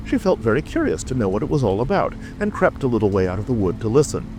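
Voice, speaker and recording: A man's voice: medium pitch, sing-song, quick pace, over music, rough recording. A man narrating a classic storybook as a scripted audiobook.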